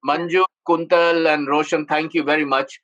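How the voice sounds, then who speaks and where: A man's voice: a little high, flat delivery, normal speed, fairly bright tone, dull audio. A man moderating an online panel discussion over a video call, speaking emphatically and repeating his points.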